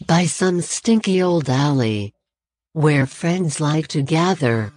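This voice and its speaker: A woman playing a theatrical narrator who introduces a comedic audio drama in a drawn-out delivery. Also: deep voice, expressive, darkish tone, no room sound, heavily acted. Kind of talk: scripted show intro narration.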